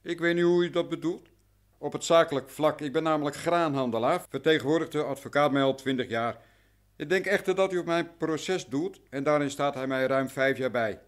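Cautious, beaten-down businessman, male actor, radio play, formal and explanatory, slightly raised pitch. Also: a little fast, natural voice. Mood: cautious, secretive. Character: cautious, anxious, worn-down male grain merchant. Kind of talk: radio drama acting.

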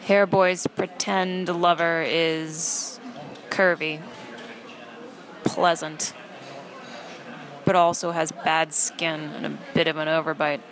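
A woman playing a sardonic young fast-food trainee who narrates an audio play in a dry, deadpan way. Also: low-pitched. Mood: dry, unimpressed.

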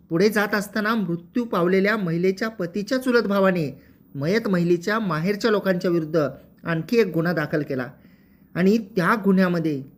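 A legal explainer narrates a court judgment formally and methodically, repeating phrases to clarify. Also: medium pitch.

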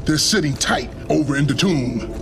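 An actor playing a boisterous, swaggering soldier in a game cutscene. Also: male voice, fairly high pitch, lively intonation, moderate pace, creaky, background noise. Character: loud, swaggering male soldier. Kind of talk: video game cutscene acting.

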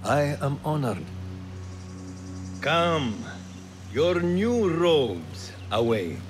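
A solemn older assassin mentor in a video game. He speaks slowly and deliberately with a fatherly, formal manner and a very varied melody. Music plays softly behind him. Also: on the high side, big pitch swings, full character voice. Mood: solemn pride.

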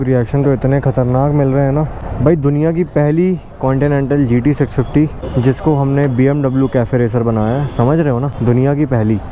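Young male motovlogger, excited, slightly high voice, outdoor helmet-cam sound with loud street noise. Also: highs cut off. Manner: excited, boastful, keeps addressing viewers as brothers. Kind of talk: casual vlog chat to viewers. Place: outdoors on city streets on a motorbike. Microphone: helmet-mounted camera mic. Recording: noisy street recording with traffic. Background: traffic and vehicle noise.

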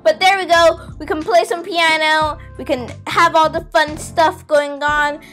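A woman's voice: sits high, steady tone, measured pace, fairly bright tone. A young girl hosting a casual, playful game tour, chatting to viewers in an exclamatory way over background music. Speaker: gaming video host.

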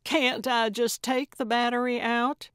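Casting: feisty older female sleuth played by a woman narrator, blunt and stubborn, lifted and loud, audiobook style. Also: a little high, level tone, measured pace, clean tone, no room sound, clean studio sound, pitched above the performer's own voice. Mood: defiant. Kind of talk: audiobook character voice.

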